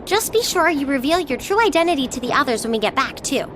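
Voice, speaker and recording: High-voiced, blunt young schoolgirl. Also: very animated, quick pace, background noise. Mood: exasperated. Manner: blunt, chiding. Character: blunt, exasperated young female student. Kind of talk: video game cutscene dialogue acting.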